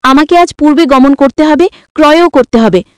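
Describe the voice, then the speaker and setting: A woman's voice: high-pitched, normal speed, no room sound, clean studio sound, close to an everyday voice. A woman voicing a scheming, demanding young new wife in a story, bossy, mocking and self-satisfied. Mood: gleeful.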